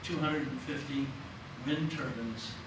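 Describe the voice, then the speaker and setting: A man giving an informal panel talk in a loud, plain, matter-of-fact voice. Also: mid-range voice, fairly even intonation, unhurried. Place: lecture room. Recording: echoey room from a distant mic, noisy.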